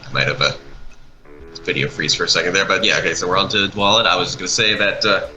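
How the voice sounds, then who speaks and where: A man chatting casually in a rambling, halting way, like someone producing an animation project. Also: low voice, steady tone, bright, forceful tone. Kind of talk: casual chat over a speedpaint. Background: music.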